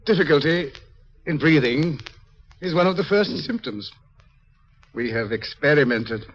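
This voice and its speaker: A dull, lo-fi vintage radio recording of a composed male scientist. He lectures deliberately and a little dryly, with wide swings of pitch in the theatrical 1950s manner. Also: expressive. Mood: uneasy, controlled. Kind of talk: 1950s radio drama acting.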